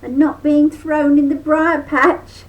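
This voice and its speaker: Storybook part: a shrill, cheeky trickster rabbit who hollers, read aloud by an older woman in a squeaky raised voice. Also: level tone, slow pace, low-quality recording. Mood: sly. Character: cheeky, hot-tempered male trickster rabbit.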